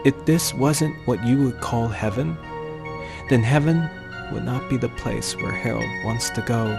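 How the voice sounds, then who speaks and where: A man reading a scripted horror story as a dramatic narrator, with theatrical, emphatic phrasing and deliberate pauses. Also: mid-range voice, vocal fry. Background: music.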